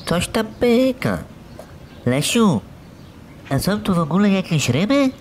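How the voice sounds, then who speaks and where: Man doing a high-voiced, naive cartoon fishing buddy. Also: sing-song, measured pace, a little mellow, slight background noise. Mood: naive, cheerful. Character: naive, simple, cheerful chubby fishing buddy. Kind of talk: cartoon dialogue.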